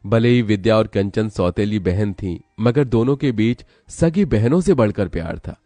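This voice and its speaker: A man's voice: on the low side, animated, moderate pace, warm tone, no room sound, soft background music. A man narrating a scripted cartoon story as a storyteller.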